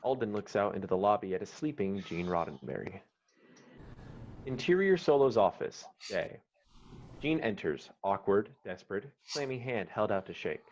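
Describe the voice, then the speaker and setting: A man's voice: on the low side, big pitch swings, normal speed, a little mellow. A man reading screenplay stage directions as dramatic scripted narration on a lo-fi online recording. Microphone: online call microphone.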